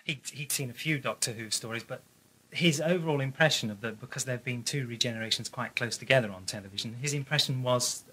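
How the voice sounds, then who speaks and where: A man reminiscing casually in an interview, in a halting, hesitant way. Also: mid-range voice, sharp tone, husky, low-quality recording. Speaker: audio drama writer and contributor. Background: music.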